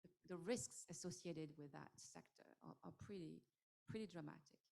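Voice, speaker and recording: French-accented woman panelist, hesitant, slightly low voice. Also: moderate pace, gravelly, warm tone. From France. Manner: hesitant, many fillers and restarts. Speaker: investor panelist. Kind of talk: panel discussion.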